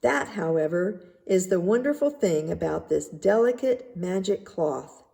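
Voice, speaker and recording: A woman reading a children's tale aloud as narrator, in a measured, deliberate way. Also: on the low side, lively intonation, creaky, dark tone. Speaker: story reader. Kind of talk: scripted storybook narration.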